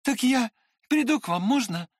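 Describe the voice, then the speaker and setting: A man voicing an eager, boyish young friend who is quick to scold, in an audiobook. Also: very high voice, lively intonation, no room sound, clean studio sound, voice pushed up. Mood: hurried, hopeful. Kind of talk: dramatized audiobook character voice.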